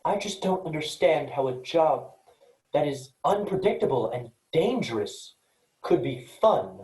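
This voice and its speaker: Man playing a mellow, literal-minded space worker, echoey. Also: a little high, sing-song, unhurried. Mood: puzzled, defensive. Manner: matter-of-fact, precise. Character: earnest, cautious, literal-minded male list-making space worker. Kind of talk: virtual staged reading of a sci-fi play. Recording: echoey room.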